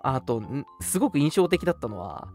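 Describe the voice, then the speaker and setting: A young man co-hosting a casual radio chat, telling stories in an animated way and acting out short quotes. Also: mid-range voice, a little fast. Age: young adult. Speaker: radio show co-host. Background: background music.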